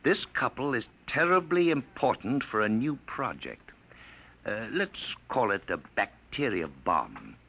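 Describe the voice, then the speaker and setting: An actor playing a smooth, scheming time-traveler villain, persuasive, in a 1950s radio drama. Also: male voice, mid-range voice, big pitch swings, unhurried, bright, forceful tone, full character voice. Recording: dull noisy 1950 radio broadcast.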